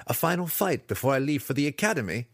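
A man voicing a proud, earnest young dark-elf fighter, raising his voice higher. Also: very animated, fast talker, no room sound, clean studio sound.

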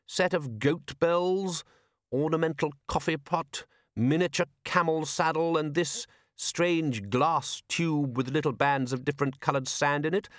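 A man voicing an urbane aristocratic ruler in an audiobook, measured and drily ironic. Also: a little high, no echo, clean studio sound, voice pushed up, lightly acted. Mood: dry irony. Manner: measured, deliberate, drily sardonic.

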